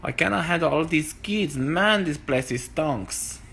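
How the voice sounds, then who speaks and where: A sassy storybook princess, acted by a man, impatient and bad-tempered. The delivery is forceful and very animated, with some noise in the recording. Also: a little high, a little slow, vocal fry, pitched above the performer's own voice, heavily acted. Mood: threatening, fed up.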